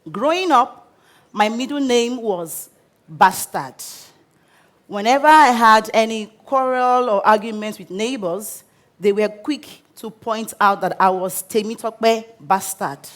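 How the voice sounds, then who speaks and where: Nigerian woman, thirties, speaker sharing a personal story; bright-ish voice, unhurried delivery. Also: on the high side. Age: 30s. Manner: earnest, measured storytelling with pauses. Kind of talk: personal story talk on stage. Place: stage before a live audience.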